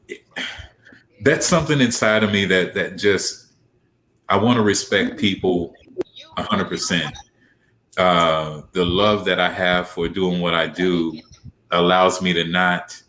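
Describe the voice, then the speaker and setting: A man's voice: low voice, bright, forceful tone, slight background noise, poor audio. A man speaking as a director and interview guest in a casual, heartfelt way.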